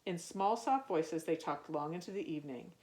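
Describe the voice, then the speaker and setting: A woman reads a children's story with a lively, swooping intonation. Her voice sits a little low for a woman, and she speaks in a musing, wondering way meant for children. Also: normal speed, poor audio. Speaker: youth ministry storyteller. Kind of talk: reading a children's picture book aloud.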